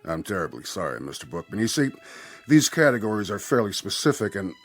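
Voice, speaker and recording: An actor playing Death as a polite, exacting bureaucrat in a radio drama, speaking in a formal, measured way. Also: male voice, lowish voice, sing-song, soft background music. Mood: formal, apologetic.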